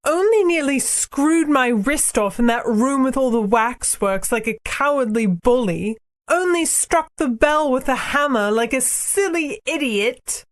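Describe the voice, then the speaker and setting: A storybook narrator voicing a brisk, indignant young girl with prim, cutting British schoolgirl phrasing. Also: medium pitch, voice pushed up, close to an everyday voice. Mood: sarcastic, indignant. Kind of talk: audiobook character dialogue.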